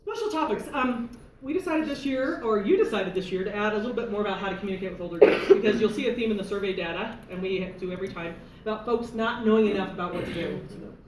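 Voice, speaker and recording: A woman's voice: mid-range voice, fast talker, darkish tone. A woman presenting survey results to a meeting, chatty and laughing often. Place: meeting room. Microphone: room mic from afar. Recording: roomy, distant, noisy room recording.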